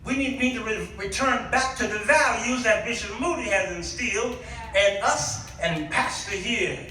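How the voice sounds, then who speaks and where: Animated high-pitched male preacher in echoing hall. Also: moderate pace. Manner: dramatic, repeats punchlines, acts out dialogue. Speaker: guest preacher. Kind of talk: animated sermon with repetition and call-and-response. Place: church sanctuary before a responding congregation. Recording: very echoey hall, distant microphone.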